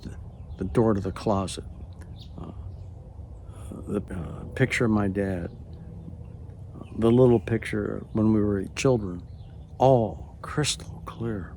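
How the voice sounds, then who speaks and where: A man in his late seventies telling a personal story in a hesitant way, with many pauses, and often dropping to a near-whisper. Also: fairly low pitch, dark tone, a little hiss or hum. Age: late 70s. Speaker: storyteller recounting a personal experience. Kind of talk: unhurried spoken personal narration.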